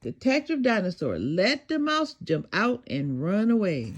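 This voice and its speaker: Slow, expressive woman reading a storybook. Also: medium pitch, slight background noise. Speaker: children's storybook reader. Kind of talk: animated read-aloud narration.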